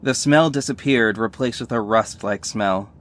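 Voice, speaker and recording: A man's voice: on the low side, normal speed, fairly bright tone, close to an everyday voice. An actor playing a sardonic male superhero who narrates his own story in a scripted audio drama, wry and earnest. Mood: tense recounting.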